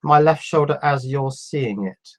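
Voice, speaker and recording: Guy in his 50s with a cold, reading chat questions and answering them, kinda halting and laid back. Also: medium pitch. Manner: reads viewer questions aloud, then answers them in a relaxed, halting way. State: has a cold. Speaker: electronics YouTuber answering live chat. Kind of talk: casual live stream chat.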